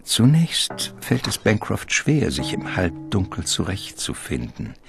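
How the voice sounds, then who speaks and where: An actor playing a solemn, ominous narrator of a gothic radio drama, measured and literary in delivery. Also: male voice, sits low, huge pitch swings, gravelly, music in the background, exaggerated voice.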